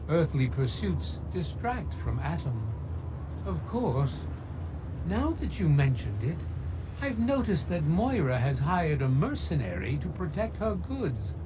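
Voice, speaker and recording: An actor playing a fervent, grandiose cult preacher who worships a bomb in a video game. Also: male voice, fairly high pitch, background noise. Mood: serene. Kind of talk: theatrical video game character acting.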